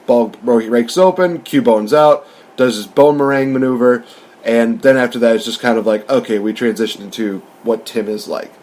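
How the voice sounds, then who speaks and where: A man's voice: mid-range voice, moderate pace, a little mellow. A man hosting a podcast, talking through a film review in an informal, chatty, rambling way. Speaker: podcast host.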